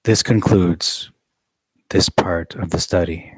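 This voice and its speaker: Man, very low voice, measured reading, casual asides, dry close recording. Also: fairly even intonation, husky, no echo, low-quality recording. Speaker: reader of a study text. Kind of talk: reading a book aloud with asides.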